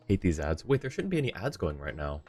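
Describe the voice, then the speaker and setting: A man's voice: low-pitched, sing-song, moderate pace, a little mellow. A slightly tired man chatting casually and politely with viewers as a live game streamer. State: a little tired. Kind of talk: casual chat with viewers while gaming. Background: faint game music.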